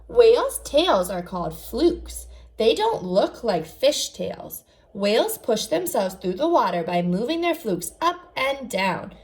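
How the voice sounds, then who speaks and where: A woman's voice: fairly high pitch, sing-song, slow pace, a little mellow. A woman teacher reading aloud to young children, enunciating carefully and adding short explanatory asides. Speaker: first grade teacher.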